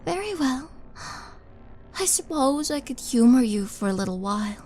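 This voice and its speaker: A woman's voice: high-pitched, big pitch swings, a little mellow, a little hiss or hum. A woman voicing a towering, bloodthirsty half-orc murderess in an intimate audio roleplay, possessive, teasing and dramatic, sometimes dropping toward a whisper. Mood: taunting, amused. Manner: slow, dramatic, menacing and taunting, breathy dips into whisper. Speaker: roleplay voice performer. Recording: background music under voice.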